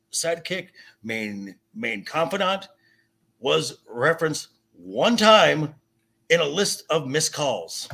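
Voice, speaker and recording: Forceful, husky man arguing with stammering emphasis. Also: fairly high pitch. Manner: emphatic, indignant, stammering repetition. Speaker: podcast guest. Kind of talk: heated debate about comic adaptations.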